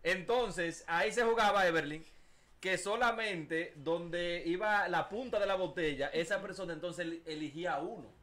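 A Dominican man with a bright, slightly high voice and a Dominican accent, co-hosting a studio talk show. He teases his partners and breaks into laughter, reading lines slowly at a desk microphone. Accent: Dominican Spanish. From Dominican Republic. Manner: teasing, laughs often, reads rules aloud slowly. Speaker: radio show co-host. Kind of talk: joking studio banter. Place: radio and TV studio.

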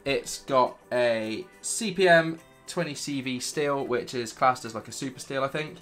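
A British man in his thirties with a croaky voice from illness, chatting enthusiastically about his gear to camera in a home studio. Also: mid-range voice, measured pace, a bit bright, faint music behind. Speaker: vlogger. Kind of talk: casual gear review to camera. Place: dim home studio room, indoors.

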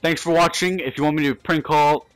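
A man from the United States doing informal, halting video commentary as a gaming channel host who makes prank calls. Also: fairly high pitch, steady tone, faint music behind, low-quality recording.

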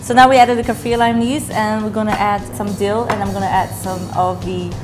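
A woman's voice: medium pitch, a little fast. Young woman hosting a cooking show, easy step by step talk, music under it, clinking pans. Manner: relaxed, step-by-step instructional. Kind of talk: cooking demonstration. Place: restaurant kitchen studio, indoors. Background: music, clinking pans and cutlery.

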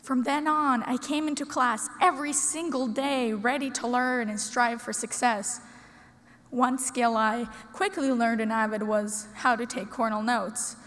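A sixteen-year-old girl from Russia giving an earnest, prepared speech at a podium in a large conference hall, with deliberate dramatic pauses. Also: on the high side, fairly even intonation, fairly bright tone. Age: teens. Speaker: student speaker. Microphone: podium microphone. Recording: long hall reverb.

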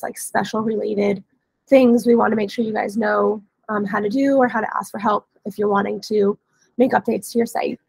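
Hoarse, creaky-voiced woman trainer. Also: fairly high pitch, no echo. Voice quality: scratchy. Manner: casual, explanatory. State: hoarse from a cold. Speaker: webinar trainer, dealer support staff. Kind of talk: informal software training walkthrough. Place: indoor room, on a video call. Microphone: headset.

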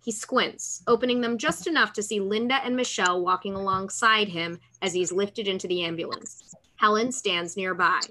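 Woman narrator for a table read, clear-cut bright tone, measured dramatic delivery, on a video call. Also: medium pitch, poor audio. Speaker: narrator reading stage directions. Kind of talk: scripted narration.

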